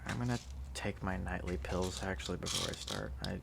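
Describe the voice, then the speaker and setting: A man playing an affectionate, sleepy student in an intimate ASMR roleplay, soft, teasing and halting as he dotes on a pet. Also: low voice, steady tone, vocal fry, very noisy. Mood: cozy, sleepy. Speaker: audio roleplay performer.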